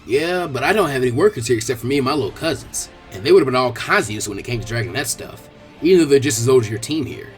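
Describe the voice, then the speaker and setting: A man's voice: mid-range voice, animated, fast talker, faint music behind, natural voice. A man reading the part of a friendly young cattle rancher and chiding older cousin in a story, polite and plainspoken.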